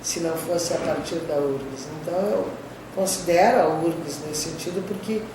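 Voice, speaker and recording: A woman's voice: fairly low pitch, moderate pace. An older woman professor from the southern Brazilian border, with a Gaúcho accent sprinkled with Spanish terms, recalling her career in an interview and tagging sentences with "né?". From southern Brazil border region. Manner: frequent "né?" tags, conversational storytelling. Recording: distant mic, echoey room, clear background noise.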